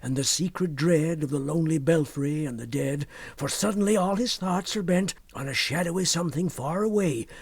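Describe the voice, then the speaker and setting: Male poetry reader, fairly high husky voice, theatrical delivery with swells and hushed drops, very dry recording. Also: dry recording. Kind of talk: dramatic recitation of narrative poem.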